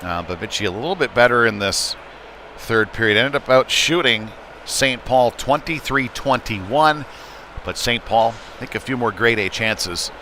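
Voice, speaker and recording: A man's voice: mid-range voice, expressive, a little slow, bright, forceful tone. A man giving live play-by-play of an amateur hockey game in an informal, folksy, conversational way. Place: indoor ice rink during a live game. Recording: noisy rink ambience behind voice. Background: clear rink and game noise.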